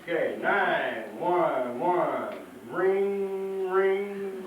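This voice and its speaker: Male firefighter host, lively and fairly high-voiced, informal teaching for kids, distant echoey hall sound. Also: very slow, phone-quality sound, crowd noise. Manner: informal, instructive, checks understanding with frequent questions. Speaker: firefighter hosting a fire-safety show for schoolchildren. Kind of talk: live educational presentation to children. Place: echoing indoor hall, live event. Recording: echoey hall from far off, faint noise.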